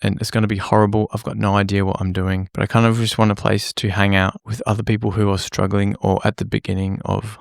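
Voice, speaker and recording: Rambling, self-deprecating man with a gravelly voice. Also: fairly low pitch, little pitch movement, fast talker, hardly any pauses, dry recording, studio quality. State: slightly croaky from illness. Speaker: music producer and vlogger. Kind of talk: rambling casual talk to camera. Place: small home room lit by a lamp. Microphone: camera-facing setup at a desk.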